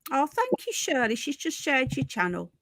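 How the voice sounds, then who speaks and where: A woman's voice: high-pitched, huge pitch swings, moderate pace, fairly bright tone, dry recording. A woman hosting a casual live news stream from home, chatting to viewers, repeating herself and calling them folks and darling. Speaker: livestream host.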